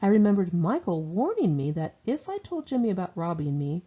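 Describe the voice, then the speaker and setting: A woman's voice: lowish voice, very animated, brisk, dark tone, slightly rough. A woman reading her own memoir and diary entries aloud as informal audiobook narration, in a wry, sardonic, conversational way, on a dull lo-fi home recording.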